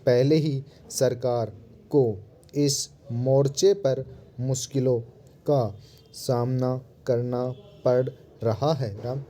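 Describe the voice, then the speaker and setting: A man reading formal prose as dictation in a measured, deliberate way, separating short word groups with pauses and speaking the punctuation. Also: medium pitch, dark tone. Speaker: dictation reader. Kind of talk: slow dictation of a news editorial, punctuation spoken aloud.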